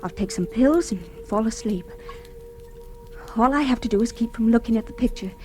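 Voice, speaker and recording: An actress playing a fragile, panicked young woman in an old radio horror play, breathless, halting and repeating herself. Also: a little high, warm tone, lightly acted. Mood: rising panic. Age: young adult. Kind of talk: 1940s radio horror acting, monologue. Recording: old radio drama with music underneath.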